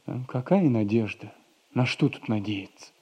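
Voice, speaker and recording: Mellow, lovelorn, self-pitying young clerk. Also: male voice, mid-range voice, lively intonation, normal speed, husky. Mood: dejected. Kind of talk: 1960s theatrical radio comedy acting.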